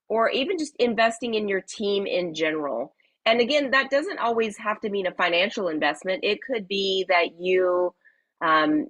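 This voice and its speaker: A woman hosting a live webinar, talking in a friendly, chatty way with many fillers, slightly raspy from a cold. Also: on the low side, fairly bright tone, dry recording, low-quality recording. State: hoarse from a cold. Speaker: webinar host.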